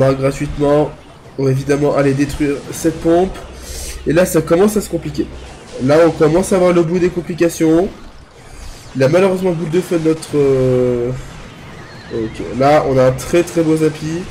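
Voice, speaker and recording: Young guy with a cold, nasal and stuffy, chatting thru his game, a bit high voice, faint hiss behind. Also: warm tone, rough recording. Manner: chatty, thinks aloud while playing. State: congested, talking through the nose from a cold. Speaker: gaming youtuber. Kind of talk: casual gameplay commentary.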